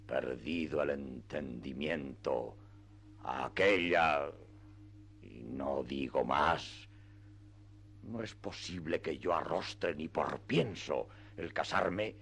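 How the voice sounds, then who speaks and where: An actor playing a grandiose, deluded old knight-errant in a radio drama, with solemn, declamatory, archaic speech. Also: male voice, extremely low pitch, gravelly, a bit bright, slight background noise, full character voice. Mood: solemn, boastful. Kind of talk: theatrical radio drama acting.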